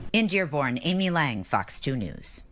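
A woman's voice: sits low, very animated, a little slow, a bit bright, slight background noise. A woman reading a scripted television news report as a reporter.

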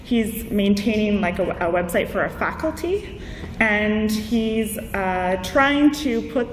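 A woman with a mid-pitched voice gives an informal, conversational conference talk, explaining things through examples. She sounds a bit distant from the mic in a reverberant hall, with faint noise behind her. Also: animated, slow. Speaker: conference keynote speaker. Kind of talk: informal slide presentation to an audience. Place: large indoor conference room.

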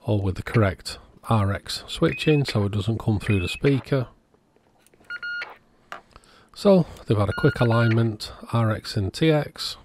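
A man's voice: fairly low pitch, animated, unhurried, noisy background. A man giving a casual, matter-of-fact workbench narration as the host of an electronics repair video.

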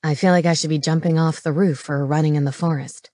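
Woman voicing a gruff, low, domineering male wolf shifter. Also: steady tone, quick pace, mellow, dry recording, studio quality, close to an everyday voice. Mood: blunt, intense. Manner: growling, commanding, crude. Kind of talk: paranormal romance audiobook character.

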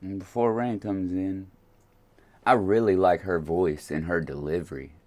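A man's voice: deep voice, fairly even intonation, a little slow, a little mellow. A man in his late thirties, hosting a music reaction in a small room at his webcam, rambling with lots of fillers. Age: late 30s. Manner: hesitant, rambling, many fillers. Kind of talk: casual reaction commentary.

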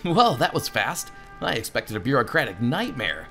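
A man's voice: mid-range voice, big pitch swings, moderate pace, gravelly, sharp tone, soft background music, full character voice. Man voicing an earnest, cheeky young hero.

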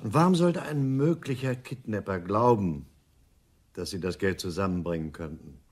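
An actor playing a blunt, skeptical professional criminal in a German radio crime drama, with a wary, direct delivery. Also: male voice, deep voice, normal speed. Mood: skeptical, dry.

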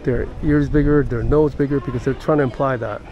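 An older man giving casual walking-tour narration as a travel vlogger, halting and pausing mid-sentence. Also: medium pitch, fairly even intonation, darkish tone, lo-fi sound. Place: outdoors in busy city streets and squares. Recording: outdoor city street noise.

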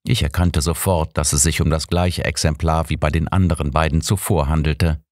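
Deep-voiced audiobook man. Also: steady tone, normal speed, darkish tone, dry recording, clean studio sound. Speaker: audiobook narrator. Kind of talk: scripted first-person mystery narration.

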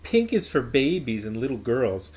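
Mean schoolgirl for a picture book, read by a man: snooty, scornful, emphatic, swooping pitch. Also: big pitch swings, moderate pace, a little mellow, natural voice. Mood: taunting. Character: snooty, taunting schoolgirls who scorn pink.

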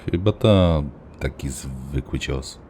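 A man playing a hesitant, understated henchman guard in a comedic dub, speaking in a deadpan way. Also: a bass voice, slow pace, slight background noise, exaggerated voice. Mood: sheepish. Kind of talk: comedic dubbed dialogue.

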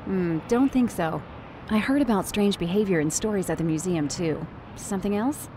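A woman's voice: mid-range voice, normal speed, background noise, natural voice. A woman playing a cool, pragmatic mercenary team leader in a video game, speaking in a controlled, matter-of-fact way. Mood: guarded, businesslike. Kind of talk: video game cutscene voice acting.

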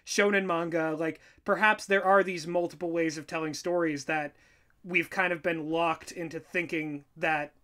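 Bright, emphatic commentator in casual conversation. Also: mid-range voice, fairly even intonation, normal speed. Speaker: writer and YouTuber reacting as co-host. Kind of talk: casual opinionated commentary chat.

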